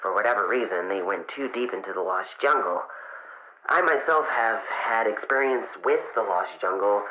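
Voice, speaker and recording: A man voicing an eager, nervous young fox boy inventor who records a log in an audio drama, chatty and halting. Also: lowish voice, animated, sharp tone, roomy sound, highs cut off, slight background noise. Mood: earnest, explanatory. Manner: halting, self-interrupting, awkwardly chatty.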